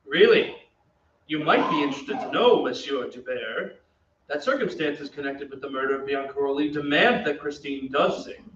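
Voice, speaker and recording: Male actor as self-assured gentleman singer, earnest, courteous, radio drama, dull distant recording with faint noise. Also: medium pitch, moderate pace, natural voice. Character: earnest, self-assured young opera singer, suitor and narrator. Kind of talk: old-time radio drama acting. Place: theatre stage. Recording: echoey stage, distant, dull, noisy.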